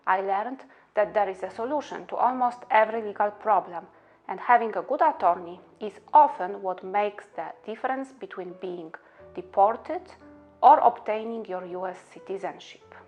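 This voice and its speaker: A woman from Poland with a Polish accent, an immigration attorney speaking in an earnest, measured, scripted way about her own experience. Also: mid-range voice, muffled recording, soft background music. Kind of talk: scripted promotional monologue.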